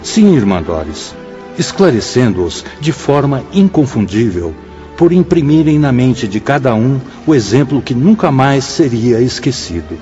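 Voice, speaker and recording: An actor with a Brazilian accent playing a solemn, reverent spirit mentor in a radio drama, with measured, declamatory delivery. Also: male voice, medium pitch, big pitch swings. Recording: dull radio drama mix under loud music. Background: music.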